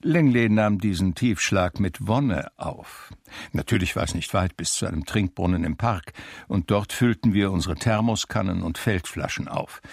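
Radio play narrator: melancholy ageing recluse, husky, low, measured, drily ironic. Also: male voice, no room sound. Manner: measured, reflective, dry irony. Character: Homer, melancholy ageing blind recluse recalling his life. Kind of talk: literary first-person radio drama narration. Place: dry radio studio.

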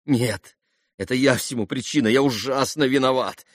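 A man voicing an earnest, gentle young man, sincere and self-reproaching, in an audiobook novel. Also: fairly low pitch, measured pace, no room sound, clean studio sound. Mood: distressed, guilty. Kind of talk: audiobook classic novel dialogue.

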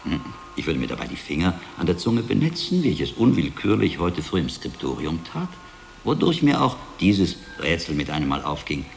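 An actor playing a shrewd, learned monk investigator in a German radio drama, speaking in a deliberate, insistent, articulate way. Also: male voice, low-pitched, big pitch swings, creaky, soft background music, full character voice. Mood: knowing, triumphant. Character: shrewd, learned middle-aged monk investigator, William of Baskerville. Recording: long hall reverb, background noise.

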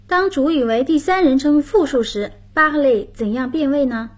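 A woman's voice: high-pitched, a little slow. A woman hosting a radio language lesson, patiently explaining grammar and prompting her partner.

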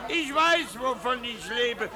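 An actor playing a crude, defiant vagrant who bluntly harangues rich revellers in a 1950s German radio play. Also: male voice, very high-pitched, lively intonation, takes it slowly, exaggerated voice. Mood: angry, scornful. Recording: 1950s radio recording, loud crowd noise. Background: loud crowd.

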